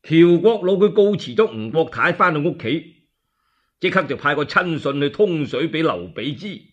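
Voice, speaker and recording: A Cantonese man narrates a dramatic radio tale in a chatty, colloquial way. His voice is husky and swings widely in pitch. The recording is dull and lo-fi. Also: moderate pace. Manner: animated, chatty asides to listeners. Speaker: storyteller.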